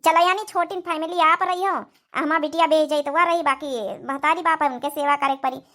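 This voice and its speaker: A woman's voice: high-pitched, steady tone, a little fast, fairly bright tone. A woman voicing a doting, practical village mother in a cartoon comedy, speaking coaxingly with an Awadhi accent. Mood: practical, hospitable. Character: doting middle-aged widowed village mother, practical, hospitable.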